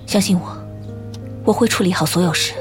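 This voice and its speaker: An actress playing a guarded, haunted and quietly determined woman in a modern audio drama. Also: on the low side, a little fast, lightly acted. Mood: cool, resolute. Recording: voice under prominent background music. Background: music.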